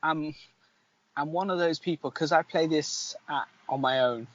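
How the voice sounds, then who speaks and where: A man's voice: a little high, normal speed, fairly bright tone. A man co-hosting a podcast and chatting casually about games. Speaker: podcast co-host. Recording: dull lo-fi sound with faint noise.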